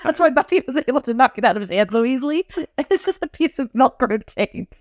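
A woman's voice: high voice, expressive, brisk, no room sound, studio quality. A woman co-hosting a podcast, chatting casually and animatedly as she recaps a TV episode, laughing often. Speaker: podcast co-host.

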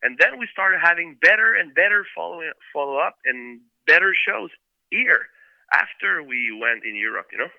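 A man's voice: a little high, lively intonation, normal speed, vocal fry, no room sound, phone-quality sound. A man from Quebec with a French Canadian accent, a band member talking loosely in a casual interview, full of fillers and restarts.

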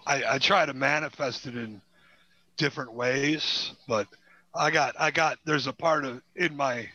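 A man's voice: medium pitch, a little slow, bright, forceful tone, no echo, lo-fi sound. A man in his forties chatting casually and emphatically, swearing freely. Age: 40s. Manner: heavily profane, emphatic. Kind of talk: casual chat.

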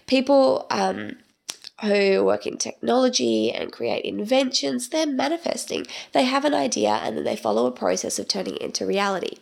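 A young woman hosting her own podcast, chatting in a rambling way with many ums, her voice croaky from the tail end of a cold. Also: fairly high pitch, sing-song, moderate pace. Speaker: podcast host. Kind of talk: casual solo podcast monologue.

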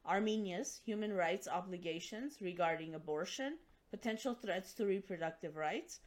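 Woman host reading a formal introduction. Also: medium pitch. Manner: formal, reads prepared introduction. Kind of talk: scripted introduction and interview.

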